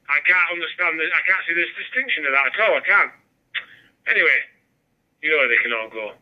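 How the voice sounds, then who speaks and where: A man's voice: on the high side, a little fast. A man with a northern English accent chatting casually over a digital two-way radio, rambling and jokey, with a muffled transmission sound. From Barrow-in-Furness, northern England. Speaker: amateur radio operator.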